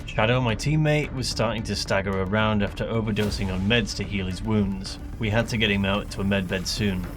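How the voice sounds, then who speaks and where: A man's voice: lowish voice, fairly quick. A man who creates gaming videos, speaking as narrator and player with casual gamer slang. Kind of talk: scripted gameplay narration mixed with live in-game chatter. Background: music.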